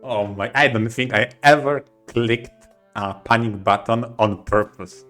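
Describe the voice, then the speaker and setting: Halting man chatting over music. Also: medium pitch, a bit bright. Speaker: podcast co-host. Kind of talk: casual podcast chat.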